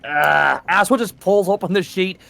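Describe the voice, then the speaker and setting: A man's voice: sits high, normal speed, gravelly, sharp tone, no echo. A man playing a tabletop game, chatting casually with a sardonic, flippant manner.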